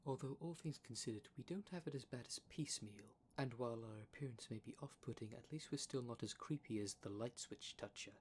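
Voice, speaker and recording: A man's voice: mid-range voice, brisk, hardly any pauses, slight background noise, natural voice. A man playing a prim, defensive dream demon in a formal, fussy, easily exasperated manner for an ASMR roleplay drama. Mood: conversational. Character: prim, defensive dream demon, one half of split creature.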